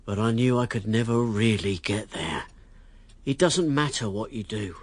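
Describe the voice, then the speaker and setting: A man's voice: lowish voice, normal speed, dry recording, natural voice. An actor playing a blunt, resentful working-class male politician in a radio drama. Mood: bitter, rankled. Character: John Gabriel, brash working-class politician, bitter about class.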